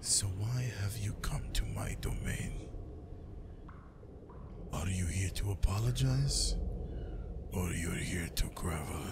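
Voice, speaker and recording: A man playing a menacing, grieving vampire lord in an ASMR roleplay, half-whispering in a breathy, deliberate voice. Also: low voice, exaggerated voice. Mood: cold menace. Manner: slow, deliberate, threatening. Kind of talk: improvised ASMR roleplay, whispered, addressed to the listener. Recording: loud steady background ambience noise.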